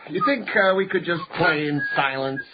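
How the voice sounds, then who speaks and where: An actor playing an irritable, aggrieved losing gambler, curt and easily flustered, in a radio drama. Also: male voice, fairly high pitch, expressive, normal speed, natural voice. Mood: testy. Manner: curt, testy. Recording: dull sound with clear background noise.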